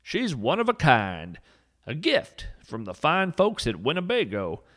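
A man's voice: fairly high pitch, huge pitch swings, measured pace, fairly bright tone. A man narrating a scripted story with dry, mock-serious humor.

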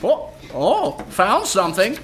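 A performer playing a flippant, petulant rival detective in a comic noir radio drama, with affected old-fashioned gentlemanly phrasing. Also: mid-range voice, wildly swooping pitch, slow pace, slight background noise, exaggerated voice. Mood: petulant, teasing. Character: flippant, posh-talking male rival detective, teasing and petulant.

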